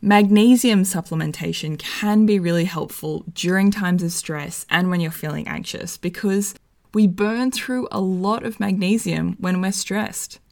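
Slightly croaky woman giving wellness tips. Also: lowish voice, a little slow, a little mellow. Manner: friendly, enthusiastic, conversational. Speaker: wellness vlogger. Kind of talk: casual advice to camera.

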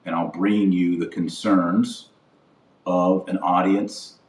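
Low-pitched man, emphatic podcast host. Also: steady tone, slow pace, a little mellow. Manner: emphatic, blunt, repetitive phrasing. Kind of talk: impassioned opinion monologue. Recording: roomy echo, distant from mic.

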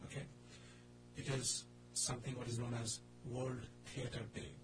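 A man's voice: mid-range voice, level tone, normal speed, over music. A man with an Indian accent hosting a loose, informal radio talk show in a hesitant, discursive way. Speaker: radio drama club host. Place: radio studio with a group in the room. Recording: noisy radio recording with hum.

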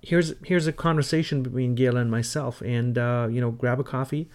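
A man hosting a podcast in a conversational way, introducing guests with a halting, hesitant delivery full of pauses. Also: mid-range voice, steady tone, a little mellow. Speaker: podcast host and interviewer.

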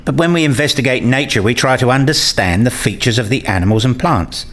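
A man's voice: medium pitch, brisk, a bit bright, close to an everyday voice. A man playing an inquisitive hobbyist in a radio play, questioning and reasoning aloud.

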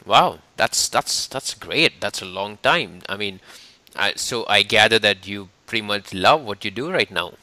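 A man's voice: on the low side, animated, unhurried, pushed and bright, no echo. A man hosting an interview podcast in an upbeat way, often laughing. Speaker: podcast host. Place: lives in London.

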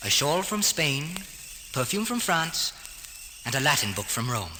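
An actor playing a proud, fair-minded young prince, regal and declamatory, in an old-time radio drama. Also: male voice, on the high side, big pitch swings, a little slow. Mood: assured, recollecting. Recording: noisy old radio recording, long reverb.

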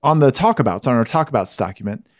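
A man co-hosting a casual podcast, giggly and animated, with a naturally high-pitched voice. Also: fast, no room sound, clean studio sound. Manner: giggly, animated, voice jumps high when excited. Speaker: podcast co-host.

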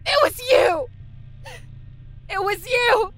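A wounded, unhinged woman out for revenge, played by an actress in a horror audio drama. She is intense and accusing, with bitterness in a voice that moves widely in pitch. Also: expressive, slow pace, fairly bright tone, a little hiss or hum, heavily acted. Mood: enraged.

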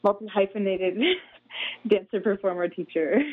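A woman from Canada, a dancer and doctoral student, answering interview questions chattily and filling pauses with "you know" and "like.". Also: mid-range voice, fairly even intonation, no room sound. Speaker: guest, dancer and PhD student. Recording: tinny phone-like line, dry.

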